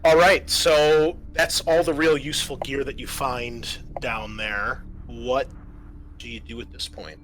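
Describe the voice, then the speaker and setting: A man's voice: mid-range voice, fairly even intonation, a little slow. A man acting as game master, casually explaining tabletop game rules. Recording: clear background noise.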